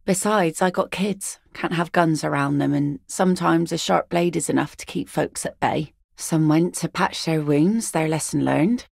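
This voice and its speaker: A woman's voice: low-pitched, normal speed, gravelly, hardly any pauses, a little mellow, no echo, heavily acted. An actress voicing a blunt, weathered fisherwoman who picks nets, speaking game voice lines in a dry, sardonic way. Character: tough weathered fisherwoman net picker, blunt. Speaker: game character.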